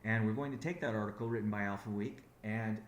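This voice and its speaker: Man, low voice, founder giving a business update, rambling with restarts, echoey call audio. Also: quick pace, slight background noise. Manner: rambling, self-correcting, many restarts. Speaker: company founder on a conference call.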